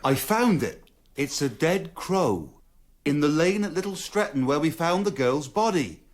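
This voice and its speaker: High-ish, earnest young constable. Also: male voice, very animated, a little slow. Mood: earnest, dutiful. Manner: deferential, matter-of-fact. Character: earnest, dogged young male police constable. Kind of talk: radio drama acting.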